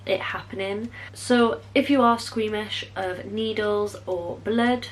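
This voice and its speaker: A woman's voice: medium pitch, fairly even intonation, slow, a little hiss or hum, rough recording. A young woman, chatting to camera in a casual vlog, bubbly and rambling, correcting herself as she goes. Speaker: beauty vlogger.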